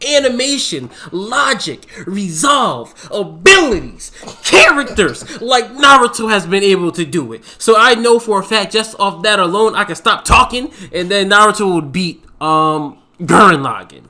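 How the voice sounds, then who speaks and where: A young man co-hosting a podcast, arguing his side in a casual debate, fast and animated. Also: sits high. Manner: fast, animated, laughs and repeats himself.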